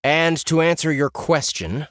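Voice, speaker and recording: A sarcastic young man, voiced by the male narrator, close to the narrator's own voice. His pitch moves a lot and his lines have a passive-aggressive edge. It is dry and close-miked. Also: unhurried, smooth, no echo. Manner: sarcastic, lively. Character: sarcastic young man pulled into a game world.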